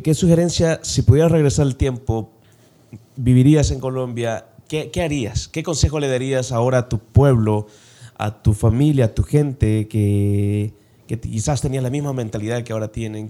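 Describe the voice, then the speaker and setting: A man hosts a casual interview in a warm, mellow voice at a middle pitch. He affirms his guest often and asks long, searching questions. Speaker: podcast host.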